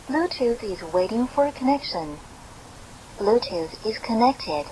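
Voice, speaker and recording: Higher-pitched female device prompt, very noisy. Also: expressive, takes it slowly. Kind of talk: scripted short status announcements. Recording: very noisy playback from a portable speaker outdoors.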